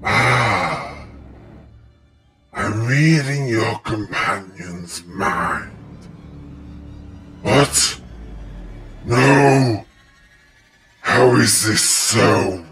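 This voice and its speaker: A man's voice: lowish voice, animated, extremely slow, long pauses, loud music behind the voice. A man playing an ancient, hungry plant monster in an audio drama, menacing and vengeful, making grandiose pronouncements.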